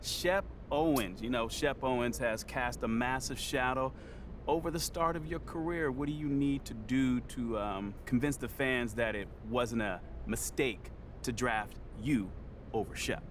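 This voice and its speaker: A man's voice: mid-range voice, a little slow, lightly acted. Game cutscene: man as a pushy controversy-hunting reporter, noisy capture. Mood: needling. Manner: pointed, needling questions. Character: provocative male sports reporter, pushy. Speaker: sports reporter.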